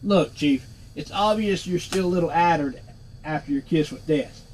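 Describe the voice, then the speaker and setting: A man's voice: fairly high pitch, normal speed, darkish tone, a little hiss or hum, natural voice, low-quality recording. A man playing a cheeky, sarcastic talking skull. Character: sardonic wisecracking floating male skull. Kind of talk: reading game dialogue aloud.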